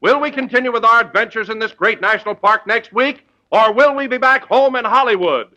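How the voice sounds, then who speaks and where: A man's voice: extremely high pitch, fairly quick, bright, forceful tone. A man announcing in a declamatory 1940s radio style, reading scripted narration.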